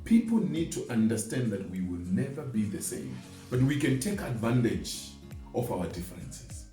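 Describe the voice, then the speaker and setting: A man with a lively, swinging intonation talks in a conversational, motivational way, explaining through everyday examples. He is recorded at some distance in an echoey room. Music plays underneath. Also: medium pitch, moderate pace. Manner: illustrates points with everyday examples and imagined quotes. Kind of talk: conversational motivational talk on teamwork.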